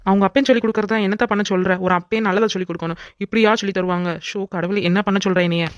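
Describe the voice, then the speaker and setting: A woman voicing a worried older village mother and a young man in a family drama, speaking low and fretful. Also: steady tone, rapid-fire, no room sound, dull audio, natural voice.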